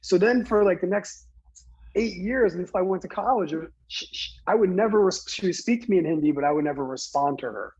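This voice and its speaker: A man from New Jersey earnestly recounting a personal story in conversation, halting, with many fillers and restarts. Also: high-pitched, darkish tone, husky, lo-fi sound. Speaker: guest speaker.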